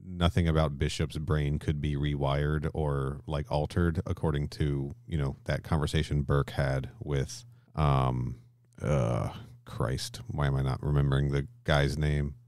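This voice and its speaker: A man's voice: extremely low pitch, level tone, unhurried, darkish tone, no echo, clean studio sound. A man co-hosting a podcast in a casual, jokey, bantering conversational style. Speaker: podcast host. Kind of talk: casual film and comic discussion chat.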